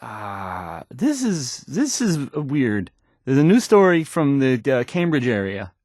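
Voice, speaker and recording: Anxious young radio newsreader, shaky voice, halting stammer, swooping pitch, dry close sound, video game character. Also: male voice, sing-song, darkish tone, no echo. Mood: uneasy. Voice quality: shaky, hesitant voice. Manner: stammering, halting, apologetic, trailing off. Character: timid, awkward young male radio DJ, anxious and unsure of himself. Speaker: radio news announcer. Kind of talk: video game voice acting, nervous radio news reading.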